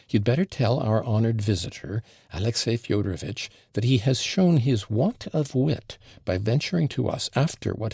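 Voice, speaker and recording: A man reading aloud and voicing a capricious, imperious teenage girl who is sharp, bossy and mocking. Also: fairly low pitch, gravelly, husky, dry recording, studio quality, pitched above the performer's own voice, heavily acted. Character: capricious, imperious invalid girl in her teens.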